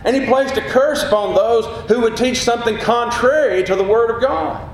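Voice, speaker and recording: A man preaching a sermon with a gruff, booming voice and passionate emphasis. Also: normal speed, a little hiss or hum. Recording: very echoey hall.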